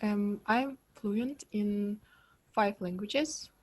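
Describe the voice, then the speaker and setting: A woman's voice: mid-range voice, extremely slow, a little mellow. A young woman gives a brief, shy self-introduction on a video call. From Kazakhstan. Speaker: participant.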